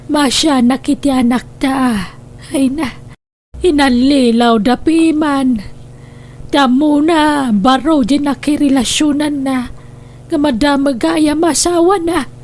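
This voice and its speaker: A woman playing a concerned middle-aged mother, measured and sorrowful as she explains, in radio drama style. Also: high voice, darkish tone. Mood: worried, sorrowful. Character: middle-aged mother, concerned wife relaying family troubles.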